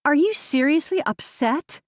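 A woman's voice: high-pitched, expressive, unhurried, dry recording, studio quality, pitched above the performer's own voice. Defensive young woman, read by a woman narrator.

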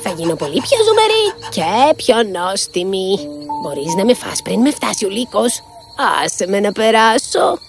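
A woman voicing a brave, gentle, cunning old village woman in a children's fairy-tale cartoon, with coaxing, drawn-out phrasing. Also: medium pitch, big pitch swings. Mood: coaxing, flattering. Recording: music behind the voice.

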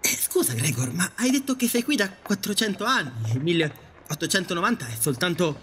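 A man's voice: high voice, sing-song, normal speed, fairly bright tone, a little hiss or hum. A man acting a polite, hesitant and curious young newcomer in an audio drama. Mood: puzzled.

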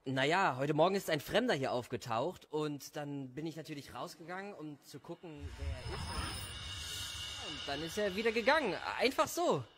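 A man's voice: a little high, expressive, normal speed, over loud music, close to an everyday voice. A man playing an easygoing village father, casual and slightly defensive, in an audio drama.

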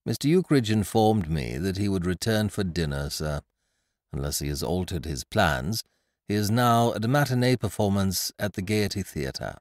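A man's voice: deep voice, lively intonation, moderate pace, dry recording, studio quality. A man voicing a deferential middle-aged male butler who speaks formally and politely.

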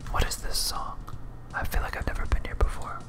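Whispered ASMR gamer muttering over game music. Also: brisk. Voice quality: soft breathy whisper. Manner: casual, muttering to self while playing. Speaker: gaming video host. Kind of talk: whispered ASMR gameplay commentary.

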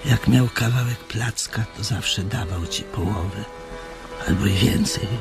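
An actor with a low, creaky voice playing a scolding old aunt, a nagging, reproachful apparition in a radio drama. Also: male voice, measured pace, music in the background.

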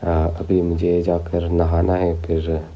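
A man's voice: deep voice, completely flat delivery, takes it slowly, muffled recording, faint music behind. Teen boy vlogging with a sore throat. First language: Persian. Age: teens. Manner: chatty, addresses viewers as guys. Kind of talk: casual vlog talk to camera. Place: home rooms and outdoors. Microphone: phone held at arm's length.

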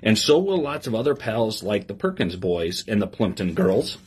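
Expressive man reading a children's story. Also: on the low side, moderate pace. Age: middle-aged. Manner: expressive sing-song reading, simple instructions. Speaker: police lieutenant reading to children. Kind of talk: read-aloud story time with asides to young listeners. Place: small office, indoors. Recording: clear background noise with clicks.

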